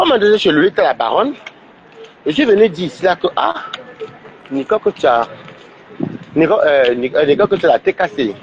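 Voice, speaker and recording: A man's voice: on the high side, muffled recording, slight background noise. A man with a cold, rambling and scolding on a livestream, with many stumbling restarts. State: stuffy with a cold.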